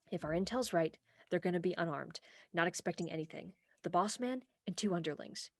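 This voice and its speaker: A young woman acting in a comic radio play as an earnest, headstrong police officer, brisk and argumentative. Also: on the low side, no room sound, studio quality. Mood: indignant, earnest. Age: young adult.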